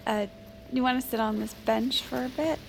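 An actress playing a blunt, quirky old confidante in an audio drama, deadpan with rambling odd humor. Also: female voice, fairly high pitch, moderate pace, vocal fry, slight background noise. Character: dry, quirky, frank old confidante of a First Lady. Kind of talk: audio fiction drama dialogue.

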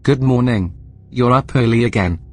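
Male station AI played by an actor, audio drama. Formal, measured, politely probing, coolly suspicious. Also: mid-range voice, slight background noise, close to an everyday voice. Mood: calm suspicion.

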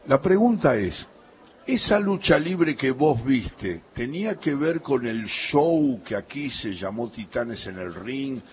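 A man with a Rioplatense Spanish accent, hosting a radio interview and asking curious, chatty follow-up questions. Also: mid-range voice. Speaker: radio host. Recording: dull lo-fi radio sound.

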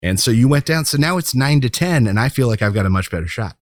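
A man's voice: mid-range voice, animated, fast, dry recording. A man co-hosting a podcast, chatting casually and enthusiastically about a TV show. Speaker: podcast co-host.

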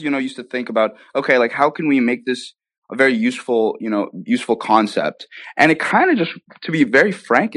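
A man's voice: mid-range voice, dry recording. A man being interviewed, explaining his work enthusiastically in a conversational way, often checking in with "right?". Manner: conversational, punctuates points with "right?" and "you know". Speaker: guest, app founder being interviewed.

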